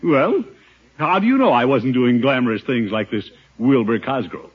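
An actor playing a pompous, blustering middle-aged American uncle in a 1950s radio comedy. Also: male voice, mid-range voice, animated, normal speed, breathy edge. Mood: boastful bluster. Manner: pompous, theatrical chuckles and bluster. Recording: muffled old 1950s radio recording.

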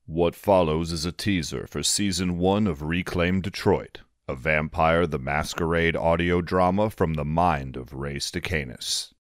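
A man with an extremely deep voice reads a scripted trailer announcement. The sound is dry and close, as from a studio microphone. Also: a little slow, hardly any pauses, no echo.

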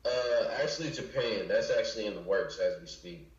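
A man's voice: lowish voice, moderate pace, distant mic. A man from Chicago, a musician guest, talking in a laid-back, slangy way and often saying "you know what I'm saying.". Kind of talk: casual interview chat. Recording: echoey lo-fi room, voice from off-mic.

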